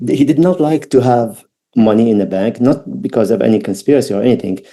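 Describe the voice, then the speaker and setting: Mellow middle-aged man with an Iraqi accent. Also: medium pitch. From Baghdad, Iraq. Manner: halting, reflective, non-native English phrasing. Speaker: podcast guest. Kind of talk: personal storytelling interview.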